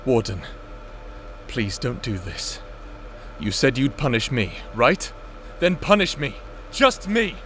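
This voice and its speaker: A man's voice: mid-range voice, very animated, takes it slowly, fairly bright tone, slight background noise. A man playing a noble, protective prisoner in an audio drama, speaking earnestly and urgently. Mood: desperate pleading. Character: noble, pleading male prisoner, protective of his friend. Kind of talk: audio roleplay drama acting.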